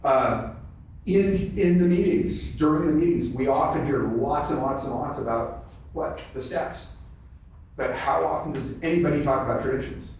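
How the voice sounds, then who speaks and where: A man sharing off the cuff at a group meeting, in a halting way with repeated words. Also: mid-range voice, a little mellow. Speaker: meeting participant sharing from the floor. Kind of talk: unscripted sharing at a recovery convention. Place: convention meeting room, indoors. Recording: very echoey hall, distant mic, background noise.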